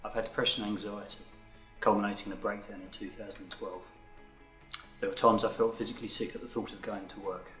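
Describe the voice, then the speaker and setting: A man in his thirties giving a frank, steady scripted testimonial. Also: on the low side, quick pace, faint music behind. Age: 30s. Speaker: employee sharing his story. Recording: roomy video recording.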